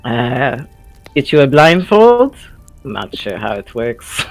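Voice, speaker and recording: A man's voice: mid-range voice, big pitch swings, slow pace, creaky, dry recording, faint music behind, full character voice. A man playing an awkward, well-meaning adventurer in a tabletop audio drama, stammering and wry. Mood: awkward concern.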